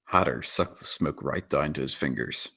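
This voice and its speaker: Deep-voiced man narrating a screenplay. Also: moderate pace, creaky, bright, forceful tone, slightly rough. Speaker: narrator reading stage directions. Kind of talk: scripted dramatic screenplay narration.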